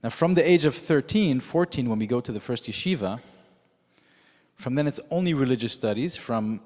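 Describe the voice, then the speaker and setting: A man whose first language is Yiddish, preaching as a rabbi in a measured storytelling way with frequent pauses. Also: mid-range voice, lively intonation, a little mellow. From United States. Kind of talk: sermon. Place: synagogue sanctuary with long reverb. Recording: reverberant hall.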